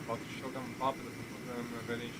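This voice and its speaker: A man giving casual live commentary as he plays a game, halting and repetitive, swearing freely. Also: mid-range voice, completely flat delivery, a bit bright, a little hiss or hum, low-quality recording. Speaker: game streamer.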